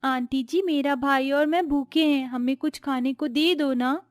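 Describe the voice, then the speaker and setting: A woman's voice: high-pitched, steady tone, a little slow, no room sound, studio quality. A woman voicing a hungry orphaned small child in a cartoon, plaintive and tearful. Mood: hungry, pleading.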